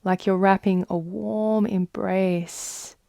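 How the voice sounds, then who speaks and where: A woman leading a guided meditation in a soft, soothing manner, with long unhurried pauses. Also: mid-range voice, fairly even intonation, creaky, no room sound, studio quality. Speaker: yoga nidra guide.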